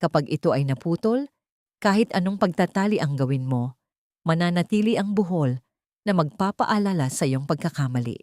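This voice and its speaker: An icy old matriarch of an audio story, acted out by a woman narrator, imperious and suspicious. She speaks low and accusingly, in a dry, close recording. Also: lively intonation, normal speed, darkish tone, no room sound, close to an everyday voice. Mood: cold, accusing. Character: cold, suspicious, imperious wealthy matriarch mother-in-law.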